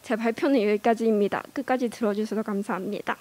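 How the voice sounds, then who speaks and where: A woman's voice: medium pitch, steady tone, fast talker, a little mellow. A young woman giving a prepared speech in a learned language, careful and earnest, with small hesitations. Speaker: speech contestant.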